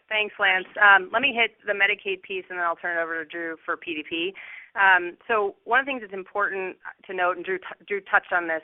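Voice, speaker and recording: A woman company executive giving measured, explanatory answers on an earnings call. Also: on the low side, dry recording. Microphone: conference call line. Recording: narrow, tinny phone-line sound.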